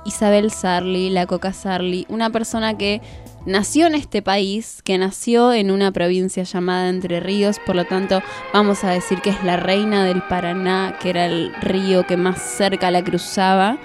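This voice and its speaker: A woman's voice: medium pitch, level tone, normal speed. An Argentine woman in her twenties hosting a radio show, talking conversationally with poetic flourishes. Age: 20s. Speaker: radio host. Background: music.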